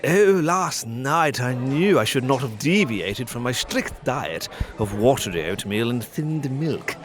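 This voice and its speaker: A man playing a frail, fussy, self-pitying hypochondriac gentleman suitor in a farcical audio drama. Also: mid-range voice, lively intonation, measured pace, a crowd in the background, natural voice. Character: frail, fussy, stooped thin hypochondriac suitor, Sir Charles.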